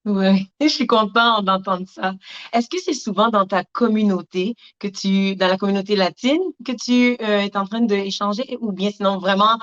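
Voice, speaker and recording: Female host, encouraging interviewer, middle pitch, muffled recording. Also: normal speed, fairly bright tone. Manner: encouraging, frequent affirmations. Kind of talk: casual interview. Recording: dull lo-fi audio.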